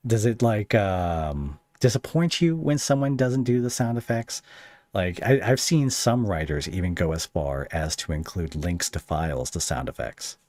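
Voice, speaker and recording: A man hosting a podcast, chatting casually and feeling out his questions haltingly as he thinks aloud. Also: deep voice, a little mellow, no echo. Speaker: podcast host interviewing a guest. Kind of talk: casual interview chat.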